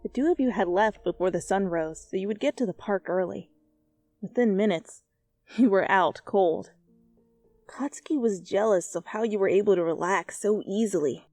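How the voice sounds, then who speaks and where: A woman's voice: medium pitch, mellow, no room sound. A woman narrating fan fiction as a dramatic storyteller in an ASMR style.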